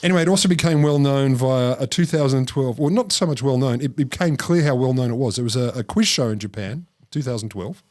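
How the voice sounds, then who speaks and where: A man's voice: mid-range voice, fairly quick, hardly any pauses, a little mellow, dry recording. A man co-hosting a casual comedic science podcast, reading out study findings with wry jokes and asides. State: married. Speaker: podcast co-host presenting a science topic.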